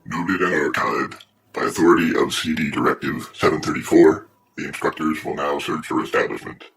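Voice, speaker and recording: A man as a deep, forceful bureaucratic lawman. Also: fairly quick, vocal fry, a little hiss or hum, full character voice. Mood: deadpan, formal. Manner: formal, bureaucratic, deadpan. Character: officious, menacing male dystopian patrol officer reciting procedure. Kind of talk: audio drama acting.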